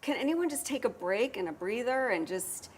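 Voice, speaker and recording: A woman co-hosting a talk show, chatting casually and acting out quotes in lively voices. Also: a little high, normal speed, slightly rough. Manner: animated, acts out quotes. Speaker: co-host.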